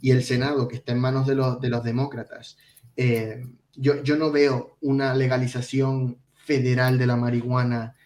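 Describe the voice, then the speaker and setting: A man talks through financial news as a podcast co-host, in a mid-range voice. He explains conversationally and a bit haltingly, repeating words. The recording is echoey and he sounds some way from the microphone. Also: mid-range voice, level tone, a little mellow. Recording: roomy echo, distant from mic.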